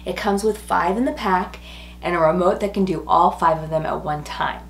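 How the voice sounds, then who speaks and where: Hoarse, upbeat woman vlogger. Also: on the low side, moderate pace, a little hiss or hum. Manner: chatty, upbeat, enthusiastic. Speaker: home decor vlogger. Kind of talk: casual product review to viewers. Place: small furnished rooms at home, indoors. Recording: roomy echo.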